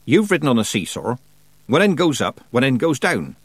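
A man's voice: medium pitch, very animated, normal speed, slightly rough, no room sound, pitched above the performer's own voice. A man voicing a stern, prickly old country witch in an audiobook, with a growling voice and brusque, folksy turns of phrase. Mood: triumphant, instructive. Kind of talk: audiobook character voice.

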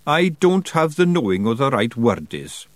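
A man's voice: medium pitch, very animated, moderate pace, dry recording, pitched above the performer's own voice, close to an everyday voice. A man voicing a small, cheeky male pixie who answers nervously and evasively. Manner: shifty, evasive.

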